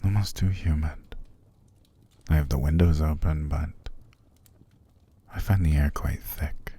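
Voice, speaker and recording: A man playing a possessive, seductive vampire master in an ASMR roleplay, calm and commanding, slow and formal, often sinking to a gravelly whisper. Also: very deep voice, heavily acted. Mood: commanding, smooth. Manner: slow, formal, soothing but controlling.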